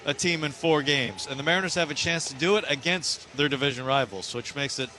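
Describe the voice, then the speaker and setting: Bright, higher-pitched American sports broadcaster, man. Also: a little fast, poor audio. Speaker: baseball radio broadcaster. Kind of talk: polished sports broadcast commentary and promo reads.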